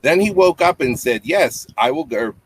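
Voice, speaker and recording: A man's voice: a little high, lively intonation, moderate pace. A man in his fifties reading a children's bedtime story aloud, adding simple explanatory asides for young listeners. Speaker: storybook reader, parent. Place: small indoor home room with low tiled ceiling. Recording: clearly noisy home webcam audio with clicks.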